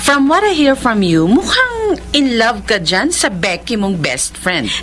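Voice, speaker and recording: Slow-spoken, knowing Filipino female confidante. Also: on the high side, big pitch swings, fairly bright tone, soft background music. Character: attentive female friend prompting a confession. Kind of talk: radio drama acting in Taglish.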